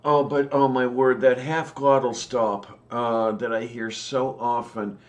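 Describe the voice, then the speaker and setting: A man co-hosting a casual chat, talking with many "um"s and pauses. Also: medium pitch, little pitch movement. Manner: slow, hesitant, many "um"s and pauses. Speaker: podcast co-host.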